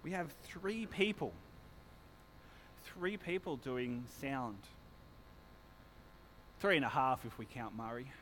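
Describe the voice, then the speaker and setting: A man with a loud voice, a pastor giving church notices and a sermon in a chatty, self-mocking way, joking with the congregation. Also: mid-range voice, slow pace, pauses often, a little hiss or hum, low-quality recording. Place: church hall. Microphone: handheld or stand microphone.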